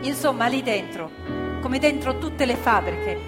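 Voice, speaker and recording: A woman acting a former factory worker and union militant, proud and grieving, in an emphatic, deliberate theatrical monologue. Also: high voice, expressive. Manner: emphatic repetitions, deliberate phrasing. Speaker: stage actress in radio theatre. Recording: reverberant theatre hall, music playing along. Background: live violin music.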